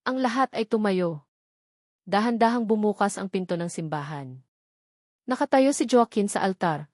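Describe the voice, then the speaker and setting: Woman narrator, scripted audio drama, even delivery, medium pitch, dry close mic. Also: dry recording.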